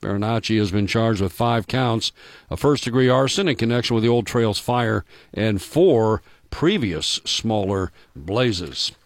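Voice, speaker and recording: A man reading the morning news on a local radio station, brisk and matter-of-fact with small casual asides. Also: fairly low pitch, expressive, no echo, clean studio sound.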